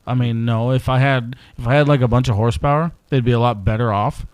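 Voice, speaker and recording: A laid-back man in his thirties hosts a casual podcast, mostly nodding along with short, easygoing replies. His voice sits at a middling pitch. The recording is close and dry. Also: fairly even intonation, fast talker, a little mellow, dry recording. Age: 30s. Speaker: podcast host. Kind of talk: casual chat.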